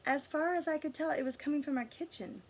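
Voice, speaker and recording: A woman reading a picture book aloud to children, with dramatic emphasis, drawn-out words and asides to her listeners. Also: sits high, a little hiss or hum. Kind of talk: storybook read-aloud with asides and questions to listeners.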